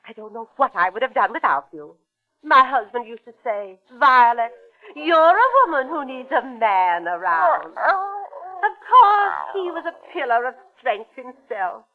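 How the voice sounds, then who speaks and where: Fluttery high-voiced coquettish widow, old radio. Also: female voice, big pitch swings, unhurried. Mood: coquettish, gushing. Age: middle-aged. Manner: gushing, affected, breathlessly talkative. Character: fluttery, coquettish, chattering older widow, former stage performer. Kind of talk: 1940s radio acting. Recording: muffled, band-limited vintage radio recording.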